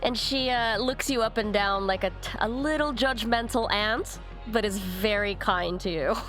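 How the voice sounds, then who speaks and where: A woman's voice: medium pitch, moderate pace, fairly bright tone, faint music behind, rough recording. A woman player improvising narration for her tabletop game character in a casual, slightly hesitant way.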